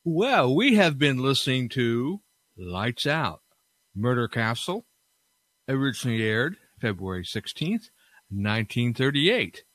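A man's voice: medium pitch, sing-song, measured pace, fairly bright tone, dry recording, rough recording. A man hosting an old-time radio podcast, talking in a casual, sincere sign-off style.

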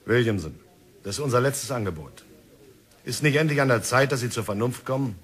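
A man's voice: medium pitch, brisk, fairly bright tone, slightly rough. A man playing a persuasive company negotiator in a radio drama, jovial and coaxing.

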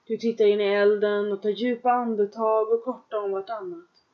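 A woman with a mid-range voice guides listeners through a trance meditation. She speaks in calm, measured second-person instructions and leaves long pauses between lines. The recording sounds dull and lo-fi. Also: medium pitch.

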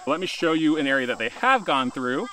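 A man in his thirties chats loosely into a phone held at arm's length while he walks around his rural yard. His tone is bright and forward. He pokes fun at himself and sometimes restarts a sentence. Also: on the high side, big pitch swings, fairly quick, slight background noise, poor audio. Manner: chatty, loose and self-deprecating, with some restarts. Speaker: homestead vlogger. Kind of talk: casual outdoor vlog. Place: outdoors in a rural yard and woods. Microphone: handheld phone camera at arm's length.

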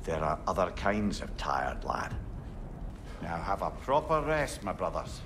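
An actor playing a wry, weary, talkative old companion with an avuncular manner in a video game. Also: male voice, low-pitched, big pitch swings, a little slow, background noise, heavily acted. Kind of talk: cinematic video game acting.

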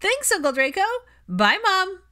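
A woman voicing an eager, excitable little boy in a story reading, full of exclamations. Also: extremely high pitch, measured pace, pitched above the performer's own voice, exaggerated voice. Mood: thrilled.

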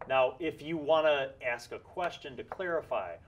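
A man's voice: fairly high pitch, lively intonation, moderate pace. A middle-aged man running a tabletop game as game master, with a patient, instructive, easygoing way of talking, recorded on a lapel mic in an indoor studio room.

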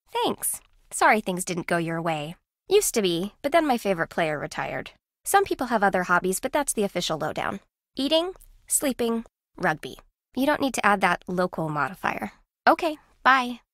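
Wry, animated game rival. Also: female voice, mid-range voice, no echo, studio quality. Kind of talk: video game dialogue acting.

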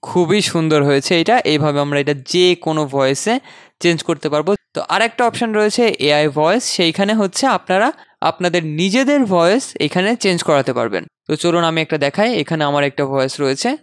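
A man hosting a casual software tutorial, conversational and dropping in English terms. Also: fairly high pitch, fast, clean tone, no echo, studio quality. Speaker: tutorial host. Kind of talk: casual software tutorial screen-recording narration.

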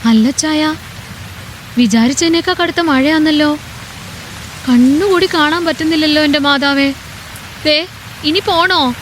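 A woman's voice: high-pitched, unhurried, long pauses, fairly bright tone, a little hiss or hum. A woman playing a fretful older village wife. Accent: Kerala Malayalam. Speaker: farmer's wife. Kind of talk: radio drama acting.

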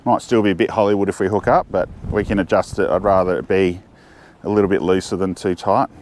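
A man's voice: deep voice, fairly even intonation, quick pace, darkish tone, a little hiss or hum. A middle-aged Australian man chatting casually to camera while fishing from a jetty, thinking aloud and restarting his sentences. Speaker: fishing vlogger. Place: outdoors on a windy jetty.

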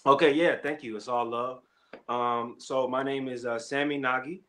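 A young adult man from Kenya, an actor guest, telling his story in a relaxed, slangy way. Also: mid-range voice, moderate pace. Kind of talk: casual interview chat.

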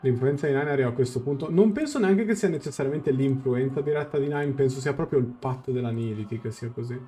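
A man's voice: mid-range voice, fast, mellow. A young man giving casual live game commentary, thinking aloud as he explains and translates. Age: young adult. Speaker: game streamer. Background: game music.

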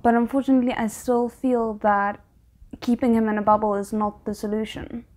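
A young woman with a somewhat high-pitched voice gives casual reaction commentary. She talks in an earnest, thoughtful way, often reworking a phrase as she searches for the right one. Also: level tone, fairly quick, a little mellow. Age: young adult. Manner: earnest, reflective, hesitant phrasing. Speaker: anime reaction host. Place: small bedroom, indoors.

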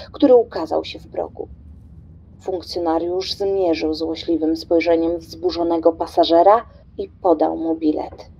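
Female narrator for radio drama: mellow, breathy voice, scripted dramatic storytelling. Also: on the low side, muffled recording.

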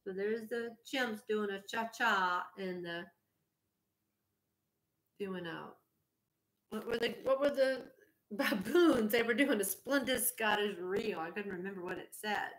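A middle-aged woman reading a picture book aloud to children, expressively, pausing to point things out. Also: medium pitch. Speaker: teacher. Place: indoor home kitchen. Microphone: laptop or webcam at arm's length. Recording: roomy echo from a laptop mic.

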